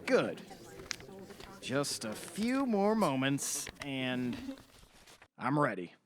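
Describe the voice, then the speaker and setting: An actor playing a keen, shrewd defense lawyer who speaks with formal urgency in an audio drama. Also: male voice, medium pitch, very animated, very slow, crowd noise. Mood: wary, focused.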